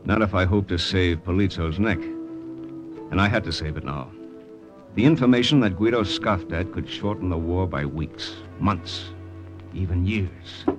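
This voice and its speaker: An actor playing a tough, wry secret agent in old-time radio drama. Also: male voice, low voice, lively intonation, normal speed, over loud music. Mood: grim, clipped. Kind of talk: old-time radio drama, first-person narration and dialogue.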